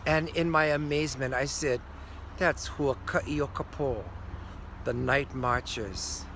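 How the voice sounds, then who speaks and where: An older man from Hawaii, telling a story outdoors in a measured, earnest way and drawing out key words. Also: medium pitch, fairly bright tone, a little hiss or hum. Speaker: storyteller and teacher. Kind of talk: storytelling to camera. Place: outdoors overlooking a city.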